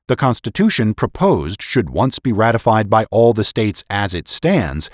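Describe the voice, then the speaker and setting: Male narrator for historical essays: low register, animated and emphatic oratorical delivery, dry recording. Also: no echo. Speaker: podcast host and narrator. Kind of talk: dramatic reading of historical political essays.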